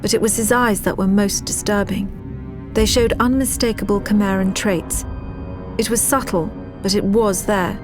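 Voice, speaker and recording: British accent, actress as wary female military officer, medium pitch, measured and grave, video game narration, music underneath. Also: steady tone, natural voice.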